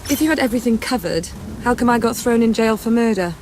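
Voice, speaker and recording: A woman's voice: fairly high pitch, quick pace, background noise, close to an everyday voice. An actress playing a wry, defiant young female freedom fighter in a video game, with a questioning manner. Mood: tense, sarcastic.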